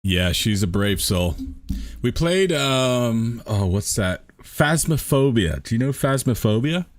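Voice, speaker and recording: A man's voice: medium pitch, sing-song, slow pace. A man from London streaming a game live, answering viewer questions in a chatty way, laughing often and repeating himself as he thinks aloud. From England, London. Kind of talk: casual live-stream chat.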